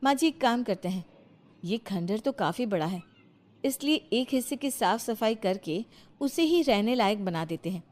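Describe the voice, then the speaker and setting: A woman's voice: on the high side, animated, normal speed, a little mellow, lightly acted. A woman playing a determined, educated young daughter-in-law from the city in a cartoon moral story, firm and reasoning. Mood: encouraging, practical.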